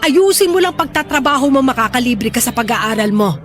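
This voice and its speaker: A stubborn, pushy mother who gambles away the family money, scolding and then wheedling her daughter, as an actress voices her. The voice sits high, and soft music plays beneath this radio-style drama. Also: lively intonation, fairly quick. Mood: coaxing.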